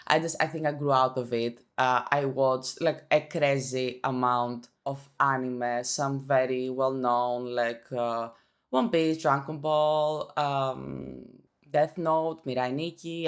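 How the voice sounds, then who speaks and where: Low-voiced Greek woman, chatty. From Greece. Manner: chatty, self-conscious, with frequent restarts and fillers. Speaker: YouTuber. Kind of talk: casual Q&A chat.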